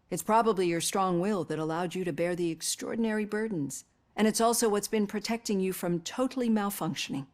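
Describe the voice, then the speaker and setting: An actress playing a composed, caring female psychologist in a video game, speaking in a measured, earnest, articulate way. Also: fairly low pitch, a little mellow, close to an everyday voice. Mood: concerned, encouraging. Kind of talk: acted video game dialogue.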